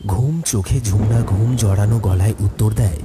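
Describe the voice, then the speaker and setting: A man's voice: fairly low pitch, normal speed, background noise. A man giving a scripted, dramatic narration of a horror story.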